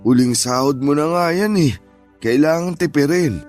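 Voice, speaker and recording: A man's voice: fairly high pitch, normal speed, faint music behind, close to an everyday voice. An actor playing an elderly Filipino grandfather, stubborn but joking and reassuring, in radio drama style. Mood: stubborn, dismissive. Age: older. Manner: gentle, reassuring, fatherly.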